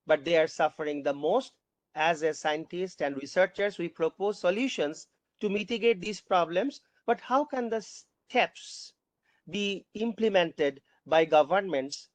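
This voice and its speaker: A panel moderator reading out audience questions, haltingly, often repeating and rephrasing. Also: mid-range voice, no echo.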